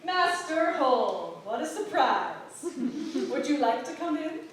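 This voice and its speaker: A high-voiced townswoman acted by a woman, wry and defiant beneath her gracious manners. Every polite phrase is arch and pointed. She is heard from a distance in a very echoey theatre during a play reading. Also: big pitch swings, slow, exaggerated voice. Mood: falsely gracious. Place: stage in a theatre. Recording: echoing theatre, distant mic, faint noise.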